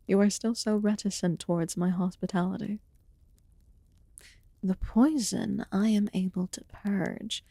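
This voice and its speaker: A woman voicing a calm, mysterious immortal witch in a soft-spoken ASMR fantasy roleplay, with formal, archaic phrasing and a breathy voice that often slips into whispers. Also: medium pitch, no room sound. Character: calm, mysterious immortal witch tending a wounded human guest.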